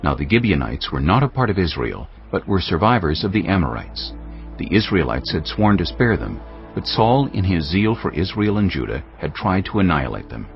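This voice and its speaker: A man's voice: sits low, very animated, a little fast. A man reading scripted narration for a dramatized audio Bible. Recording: dull band-limited audio with music bed.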